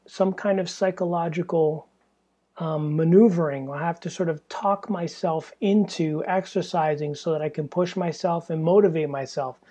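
A young man talks into a podcast mic in a small, stuffy room, his voice worn hoarse and husky from fatigue. His tone is dark and mellow, and he rambles along in a loose, candid way, swearing freely as he goes. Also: on the high side, muffled recording. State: tired and hoarse, losing his voice. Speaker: podcast host. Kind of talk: casual solo podcast monologue.

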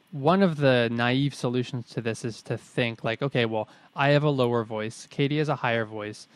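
A young adult man with a mid-pitched voice co-hosts a casual podcast. He is wry, slips in jokey asides and rephrases ideas to check them. The recording is dry and close. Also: no echo. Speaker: podcast co-host. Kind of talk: casual explanatory chat.

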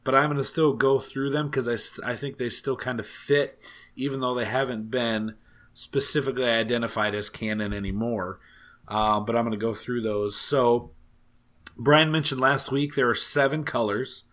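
A man with a raspy voice hosting a podcast, talking casually and rambling with many fillers. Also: medium pitch, steady tone, normal speed, a bit bright. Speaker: podcast host.

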